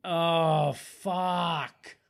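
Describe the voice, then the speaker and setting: A man's voice: a little high, fairly even intonation, drawn-out words, vocal fry. A man co-hosting a casual podcast, joking irreverently and swearing freely in loose comic banter. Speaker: podcast co-host.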